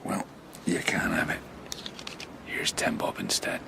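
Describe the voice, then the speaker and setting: A man acting a roguish, expansive father and scheming charmer in a radio drama, rambling and avuncular, moving between hushed and hearty. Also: very deep voice, sing-song, measured pace, gravelly, background noise, heavily acted.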